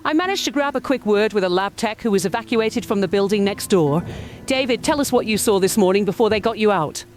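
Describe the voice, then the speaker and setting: A woman's voice: mid-range voice, expressive, brisk, a little hiss or hum, close to an everyday voice. A woman playing an earnest news correspondent in a radio drama, giving a serious, articulate field report. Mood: urgent, serious.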